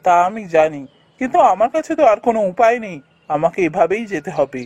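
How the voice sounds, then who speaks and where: A man's voice: sits high, lively intonation, fast talker, darkish tone, slightly rough, no echo, highs cut off. A man voicing a kind, earnest young prince in a fairy-tale cartoon. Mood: earnest, worried.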